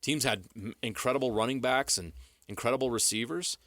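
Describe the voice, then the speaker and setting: A man's voice: medium pitch, brisk, sharp tone, no echo. An American man co-hosting sports talk radio, giving casual analysis in an assured, plain-spoken, explanatory way.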